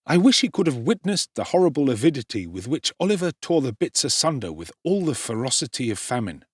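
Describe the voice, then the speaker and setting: A man narrates a classic novel with strong theatrical expression and a husky tone. His pitch swings very widely, and the recording is dry and close. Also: very animated, brisk, no echo. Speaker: audiobook narrator. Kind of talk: dramatic audiobook narration.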